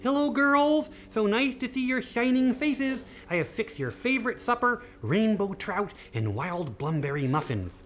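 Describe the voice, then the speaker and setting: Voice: mid-range voice, animated, a little slow, few pauses, voice pushed up, lightly acted. A storyteller voicing a folksy, easily exasperated storybook mother in a sing-song, scolding way. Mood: sweet.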